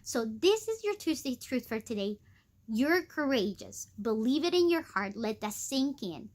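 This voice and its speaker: A woman hosting a weekly devotional talk, earnest and encouraging, conversational with many fillers, with a squeaky voice. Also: big pitch swings, unhurried. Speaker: host of a weekly devotional video. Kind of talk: devotional teaching.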